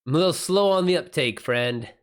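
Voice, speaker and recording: A man hosting a casual livestream, chatting with viewers in an informal, slangy, halting way with some swearing. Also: a little high, lively intonation, clear, resonant tone. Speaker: livestream host and writer. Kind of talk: casual livestream chat, reacting to viewer messages.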